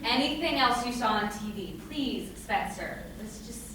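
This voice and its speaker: A noisy, far-off recording of a live stage reading. An actress plays a caring, patient young woman with a higher voice. She coaxes and reassures, with a wry edge. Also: animated, a little slow. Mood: gentle, pleading. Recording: echoey room from far off, noisy.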